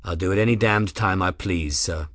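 A man voicing a calm, steely male airship captain who speaks quietly and levelly. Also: on the low side, moderate pace, no room sound, clean studio sound, natural voice. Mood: cold calm.

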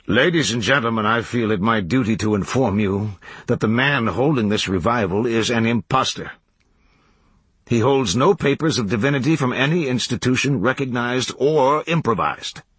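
A man voicing an enormous bald accuser in a Western story, serene and grandiloquent, speaking in a formal, deliberate, oratorical manner. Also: medium pitch, a bit bright, slightly rough, no room sound. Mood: solemn, declamatory.

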